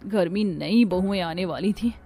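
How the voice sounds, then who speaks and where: A woman's voice: mid-range voice, measured pace, warm tone, slightly rough, faint music behind. A woman plays a frustrated young daughter-in-law in a cartoon family story. She complains and exclaims, fed up with the household. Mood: dismayed. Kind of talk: Hindi cartoon story character voices.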